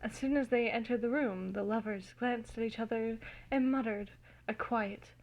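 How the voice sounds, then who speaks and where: A woman giving an amateur, theatrical and giggly dramatic reading of fan fiction, recorded in low quality on a phone. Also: moderate pace, dull audio, slight background noise. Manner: giggly, theatrical, easily cracking up.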